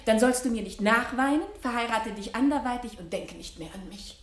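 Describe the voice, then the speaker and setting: An actress playing a frivolous, complacent society wife in a 1970 radio drama, with an airy, affected manner. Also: female voice, fairly high pitch, fairly quick, close to an everyday voice. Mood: breezy, complacent. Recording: roomy vintage radio drama recording.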